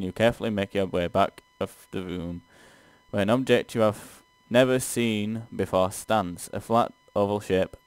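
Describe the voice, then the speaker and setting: Male gaming co-host, low voice, dry close sound; stumbles through read-aloud text, swears casually in exasperation. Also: clean tone, no echo. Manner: stumbling reader, profane asides, exasperated. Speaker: gaming show co-host playing and reading aloud. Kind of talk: casual let's play, reading game text aloud.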